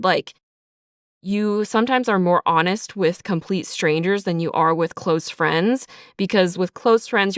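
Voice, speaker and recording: A woman's voice: fairly low pitch, a bit bright, clean tone, dry recording, clean studio sound. A woman speaking casually in an interview as a writer, talkative and thinking aloud, slipping into imagined lines as she explains. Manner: talkative, thinking aloud, acts out characters' thoughts in asides. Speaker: writer and creator of an audio drama, also voice actor. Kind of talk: casual behind-the-scenes interview.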